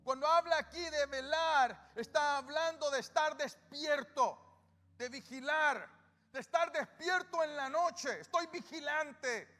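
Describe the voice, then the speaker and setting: A man's voice: very high-pitched, unhurried, sharp tone. A male pastor with a Salvadoran Spanish accent, preaching a sermon and often asking his congregation questions that he answers himself. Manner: call-and-response questions to the congregation. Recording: reverberant church hall with music.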